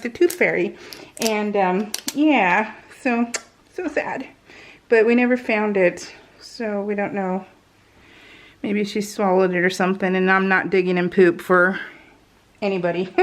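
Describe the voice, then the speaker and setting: A woman chatting casually and giggly as a craft vlogger, rambling and interrupting herself while she works in real time. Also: medium pitch.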